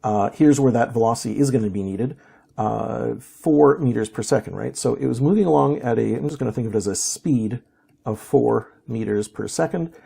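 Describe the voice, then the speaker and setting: A man with a gravelly, husky voice talks through physics problems without a script. His tone is dark and mellow, slightly hoarse, and he thinks aloud with fillers and restarts as he goes. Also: medium pitch, moderate pace. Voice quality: raspy. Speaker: physics teacher. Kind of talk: unscripted worked-problem walkthrough.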